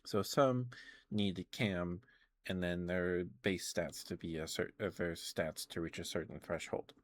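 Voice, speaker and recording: Male ASMR streamer, low register, casual and rambling, hesitant delivery with frequent self-corrections. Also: fairly even intonation, measured pace, dry recording. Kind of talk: casual ASMR chat about video games.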